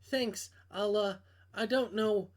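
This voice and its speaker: A man voicing an anxious, self-conscious young woman in a visual novel, hesitant and halting. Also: high-pitched, voice pushed up. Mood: bashful. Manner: hesitant, halting, brushing things off.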